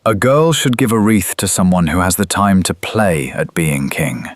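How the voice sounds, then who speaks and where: An actor playing a cynical, cruel, scheming Roman patrician in an audio drama, with a mocking, theatrical delivery. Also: male voice, sits low, lively intonation, moderate pace, dry recording, clean studio sound. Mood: mocking cruelty.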